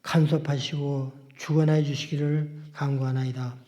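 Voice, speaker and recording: A man leads a formal church prayer, solemn and reverent, in measured phrases. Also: fairly high pitch, little pitch movement, dark tone, highs cut off. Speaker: congregant leading the representative prayer at church. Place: church service.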